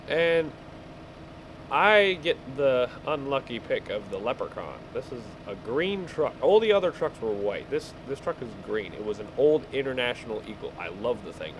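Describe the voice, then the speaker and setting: A man casually telling rambling stories while he plays a game, halting and easily distracted. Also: medium pitch. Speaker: gamer telling stories over gameplay. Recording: clear background vehicle engine noise. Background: game vehicle engine sounds.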